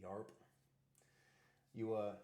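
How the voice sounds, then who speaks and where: A man hosting a casual live stream, talking with a big, loud voice and rambling, repeating himself. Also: fairly low pitch, flat delivery, extremely slow.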